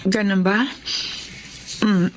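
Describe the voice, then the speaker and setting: A woman's voice: mid-range voice, fairly even intonation, slow, slight background noise, lightly acted, rough recording. A woman acting an emotional woman in love in a Filipino radio-style romantic drama. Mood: surprised, subdued. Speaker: voice actor.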